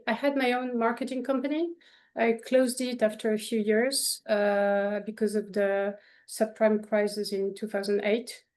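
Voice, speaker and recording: A woman from France with a French accent, talking casually as an artist guest in an interview, with many fillers and restarts. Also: mid-range voice. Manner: animated, frequent fillers and restarts.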